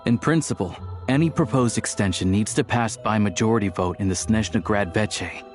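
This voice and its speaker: An actor playing a level-headed, earnest young man in a video game, explaining things in a measured way. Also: lowish voice, soft background music, close to an everyday voice. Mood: grave, explaining.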